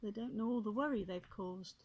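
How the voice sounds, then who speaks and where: Older lady reading a kids book out loud, expressive n chatty, noisy background w clicks. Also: mid-range voice, a little fast, highs cut off. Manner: expressive, gently chatty, sympathetic asides. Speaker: grandmother reading a children's book. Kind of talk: read-aloud storytelling with asides to young listeners. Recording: clear background noise and clicks.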